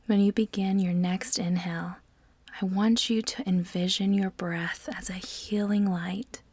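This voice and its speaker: Slow, soft-voiced woman guiding meditation. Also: mid-range voice, steady tone, no echo. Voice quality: soft, breathy, often near-whisper. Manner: soothing, measured, deliberate pauses between phrases. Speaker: guided meditation guide. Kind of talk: slow guided meditation narration.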